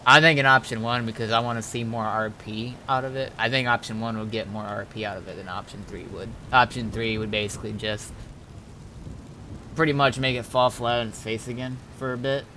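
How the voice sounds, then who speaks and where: A man's voice: mid-range voice, fairly even intonation, brisk, pushed and bright. A man who streams games, talking casually through roleplay in a hesitant, rambling way and repeating himself. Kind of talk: casual gameplay talk and improvised roleplay. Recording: slightly noisy home gaming recording.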